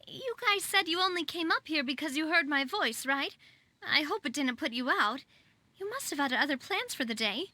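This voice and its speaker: A woman playing a chatty, polite, curious young woman in a video game, with a chirpy and expressive delivery. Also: sits high, moderate pace, slight background noise. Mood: polite, cheerful. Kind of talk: animated video game voice acting. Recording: faint background music.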